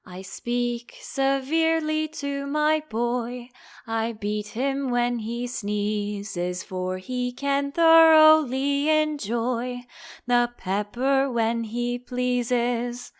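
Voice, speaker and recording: A woman voicing a rude, ill-tempered duchess in a storybook, with a brusque, snappish manner and a hoarse growl. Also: fairly high pitch, very slow, soft background music. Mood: harsh singsong.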